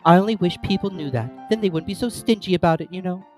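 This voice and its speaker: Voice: medium pitch, fast talker, soft background music, voice pushed up, natural voice. A reader voicing a polite, cautious young girl who speaks carefully and a little primly.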